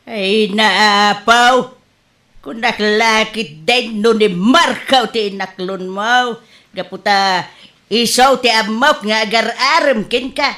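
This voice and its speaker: Nagging ilocano mom, high and shrill, drags out words, wailing, radio comedy drama. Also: female voice, pushed and bright, heavily acted. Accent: Ilocano Filipino. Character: exasperated, scolding middle-aged mother of a grown daughter.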